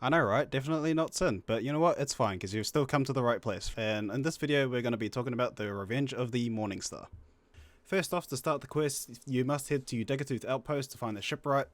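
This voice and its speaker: Man, mid-pitched voice, breezy gaming walkthrough, slangy, instructional, dry close recording. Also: brisk, dry recording. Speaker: guest co-narrator of a gaming guide. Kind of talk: casual video game tutorial narration.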